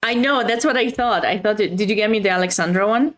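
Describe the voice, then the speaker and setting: A woman's voice: medium pitch, fast talker, fairly bright tone. A woman with a Romanian accent hosting a chess livestream, chatting casually and expressively with viewers between bits of commentary.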